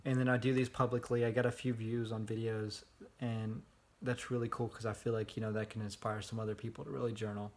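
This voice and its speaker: A young man talking casually into his phone for a personal vlog, rambling in a monotone with lots of fillers. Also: lowish voice, fairly quick. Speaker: vlogger. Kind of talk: casual self-recorded vlog. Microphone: phone held at arm's length.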